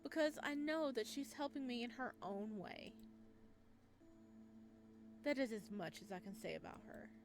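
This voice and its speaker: Woman reading as a gentle, devoted male florist. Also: fairly high pitch, lively intonation, measured pace, pauses often, noisy background, pitched above the performer's own voice. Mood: tender. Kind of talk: reading a visual novel aloud. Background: game music.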